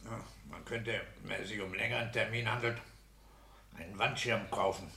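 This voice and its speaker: Gravelly, blustering bossy husband. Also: male voice, on the low side, steady tone, normal speed, bright, forceful tone. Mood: brisk, businesslike. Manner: blustering, commanding, self-satisfied. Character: bossy, boastful, vigorous petty-bourgeois husband and official. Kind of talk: 1960s German radio drama acting. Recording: hissy 1960s radio play recording.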